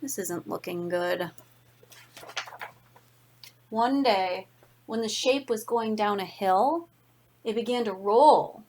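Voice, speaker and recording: Slow-reading woman telling a children's story. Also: mid-range voice, slight background noise. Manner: deliberate, expressive, dramatic emphasis for young listeners. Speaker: teacher reading a picture book aloud. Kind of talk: read-aloud children's storytelling.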